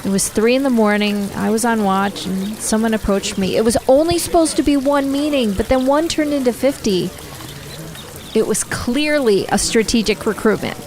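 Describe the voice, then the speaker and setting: A woman's voice: fairly high pitch, normal speed, a little mellow, a little hiss or hum, close to an everyday voice. A woman acting in a radio drama as a guarded but passionate activist, speaking earnestly and insistently. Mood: earnest, confessing.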